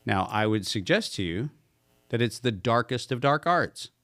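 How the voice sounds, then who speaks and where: Man, mid-pitched, emphatic guest expert who throws out rhetorical questions; dry, close recording. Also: lively intonation, moderate pace, a bit bright, no room sound. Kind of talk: podcast conversation.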